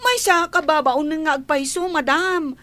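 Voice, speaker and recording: A woman's voice: sits high, moderate pace, sharp tone, dry recording. A woman acting a young, lovestruck housemaid in an Ilocano radio drama, girlish and whiny with an Ilocano accent. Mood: pleading, lovestruck.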